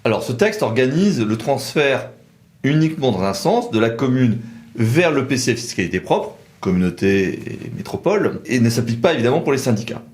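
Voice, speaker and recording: A man's voice: medium pitch, big pitch swings, a little mellow, a little hiss or hum. Man methodically explaining legal nuances. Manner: measured, methodical, nuanced reasoning. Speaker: lawyer presenting a legal explainer. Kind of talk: conversational legal explainer in tandem.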